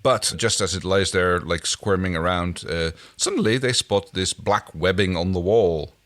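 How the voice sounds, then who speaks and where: A man co-hosting a podcast, retelling a TV episode in a loose, halting way with dry remarks. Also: sits low, a bit bright. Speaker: podcast co-host. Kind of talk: casual chat recapping a TV episode.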